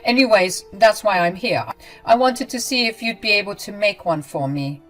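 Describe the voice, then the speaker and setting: A woman's voice: medium pitch, expressive, normal speed, faint music behind, voice pushed up, natural voice. A woman voicing an entitled, self-absorbed young bride who talks in a whiny, dismissive way.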